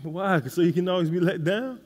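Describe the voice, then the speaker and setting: A man's voice: high voice, a little fast, a little mellow. A man playing a laid-back, noncommittal young boyfriend in a comedic stage play, speaking casually and with mild exasperation. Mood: calm, frank. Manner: casual, hedging, mildly exasperated. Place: theatre stage, indoors. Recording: noisy stage recording from far off.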